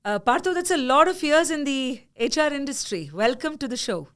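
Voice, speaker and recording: A woman hosting a podcast, telling a story with animated energy and frequent laughter. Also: high voice, brisk. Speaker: podcast host. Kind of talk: podcast conversation.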